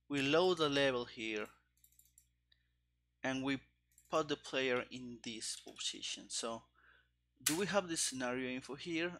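A man narrates his live coding in a bright, creaky voice. He takes it slowly and haltingly, often muttering bits to himself as he works through each step. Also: mid-range voice. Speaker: game developer streaming his coding. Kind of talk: live coding commentary, thinking aloud. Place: small room indoors. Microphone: headset.

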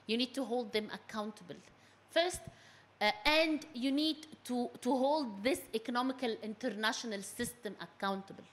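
High, slow-paced woman speaking on stage. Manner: halting, repeating words while searching for phrasing. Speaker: panel speaker, activist. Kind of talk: impassioned public talk. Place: indoor event hall stage. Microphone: handheld microphone.

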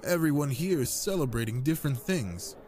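Casting: male actor as a charming god of wine and revels, very animated mid-range voice, playful and seductive, audio roleplay. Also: mid-range voice, brisk, natural voice. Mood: flirtatious, tender.

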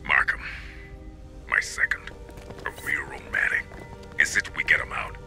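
An actor playing a hardened, cynical security captain in a video game, gruff, gravelly and sardonic. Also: male voice, a bass voice, little pitch movement, unhurried, bright, forceful tone, whispered, faint music behind, full character voice. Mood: grim, bitter. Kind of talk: cinematic game acting.

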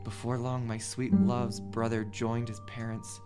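A man's voice: mid-range voice, monotone, a little slow, music in the background, close to an everyday voice. A man playing a cautious, anxious teenage brother in an audio drama. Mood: reading aloud.

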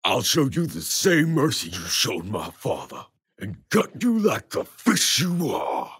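A vengeful grown male villain growls a slow, threatening vow, as a man puts him on. His voice is husky and raspy, and his pitch swings widely through the line. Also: huge pitch swings, a bit bright, soft background music, exaggerated voice. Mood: menacing. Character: menacing adult male villain vowing revenge. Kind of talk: voice sample for effects demo.

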